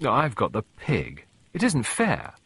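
A man's voice: on the low side, wildly swooping pitch, slow pace, creaky, a bit bright, slightly rough, no echo, full character voice. A man voicing an eager, slangy, exclamatory schoolboy in an audiobook. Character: eager, slangy English schoolboys at Christmas dinner. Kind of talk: audiobook character voice.

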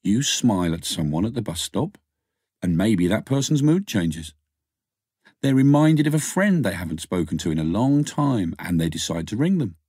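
A man's voice: fairly low pitch, sing-song, moderate pace, mellow, husky, dry recording, studio quality. A man voicing a thoughtful, teasing woman lover in an audiobook, in an earnest, musing manner.

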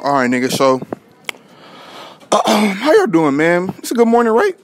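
Slangy young American man, very animated. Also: high voice, normal speed, a little hiss or hum. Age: young adult. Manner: slangy, playful. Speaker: host of street-style prank interviews. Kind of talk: casual joking public interview. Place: indoors at a high school, rooms and hallway.

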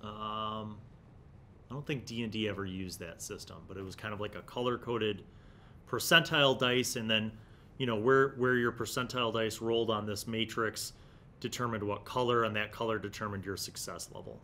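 A man's voice: fairly even intonation, moderate pace, pushed and bright. Middle-aged man, casual, noisy recording. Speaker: host of old gaming content series. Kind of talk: casual vlog commentary and review. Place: small indoor studio room. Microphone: desktop condenser microphone. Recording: clear background noise, low rumble.

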